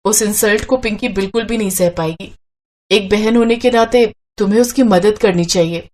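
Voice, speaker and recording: A woman voicing a sensible, caring young sister-in-law in a cartoon story, speaking earnestly and with gentle reproach. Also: mid-range voice, moderate pace, slight background noise, lightly acted. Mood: reproachful, advising.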